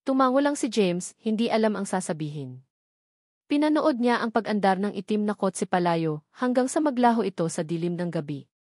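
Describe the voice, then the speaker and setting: A woman's voice: mid-range voice, lively intonation, moderate pace, a little mellow, no echo, studio quality. A woman reading a dramatic scripted story as an audiobook narrator.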